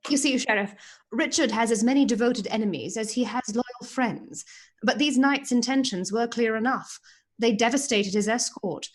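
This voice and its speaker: A sly, haughty princess, played by a woman, speaks in a slightly high, silky voice. She mocks with arch charm as a schemer in a radio play. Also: normal speed, close to an everyday voice.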